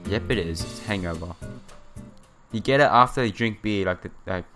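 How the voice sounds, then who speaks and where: Male gameplay narrator, bright forceful voice, dramatic visual novel read with offhand asides, soft music underneath. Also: on the low side, moderate pace. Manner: theatrical, breaks off into exclamations and asides. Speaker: let's player. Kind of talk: reading a visual novel aloud with casual asides.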